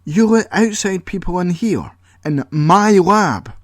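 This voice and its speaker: A man's voice: sits high, animated, takes it slowly, no room sound, studio quality, voice pushed up, heavily acted. A man voicing a hot-tempered, secretive young inventor who rants in indignant bursts. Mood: furious. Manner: indignant, paranoid ranting.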